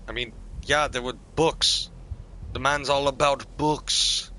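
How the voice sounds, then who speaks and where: A man's voice: medium pitch, fairly even intonation, slow, sharp tone, a little hiss or hum, rough recording. A man live-streaming a fantasy game, improvising blunt, irritable in-character remarks and asides.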